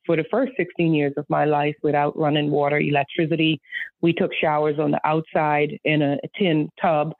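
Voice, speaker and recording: A woman's voice: low voice, no room sound. A woman from the Bahamas, a guest telling her life story in a podcast interview, earnest and reflective, often restarting her sentences. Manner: earnest, reflective, frequent restarts and soft laughs. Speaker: guest, nonprofit founder. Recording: tinny phone-like line, dry.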